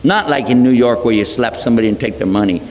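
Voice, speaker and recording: A Texan man speaks at an ordinary pitch in a loose, easygoing storytelling style, slipping jokes and asides into his lecture. His voice carries a long hall reverb, as if picked up from a distance in a large room. A faint background noise runs beneath it. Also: fast, a little mellow. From Texas. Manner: folksy, joking, storytelling with asides. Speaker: Islamic lecturer. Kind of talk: humorous public lecture.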